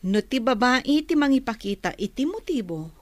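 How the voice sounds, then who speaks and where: A woman's voice: a little high, big pitch swings, moderate pace, dry recording. A woman with an Ilocano accent playing a prying, catty office superior with a pointed manner in a radio drama. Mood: sarcastic, scolding.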